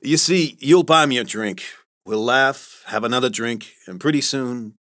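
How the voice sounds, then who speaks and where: A man voicing a sly, middle-aged male sales boss, smooth and self-mocking. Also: mid-range voice, a little slow, a bit bright, no room sound, studio quality, natural voice.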